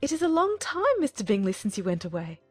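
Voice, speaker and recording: Woman acting fussy middle-aged mother, swooping animated pitch, rapid effusive chatter, self-important, storybook period drama, music underneath. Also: no echo. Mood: gushing, chatty. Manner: effusive, breathless chatter, exclaiming. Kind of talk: dramatized period novel audiobook.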